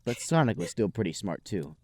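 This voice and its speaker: A man with a fairly low voice reads a comic fan fiction story as a podcast host. He tells it mock-seriously, and the sound is close and dry. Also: sing-song, brisk, mellow, dry recording. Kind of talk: comedic narration of amateur fan fiction.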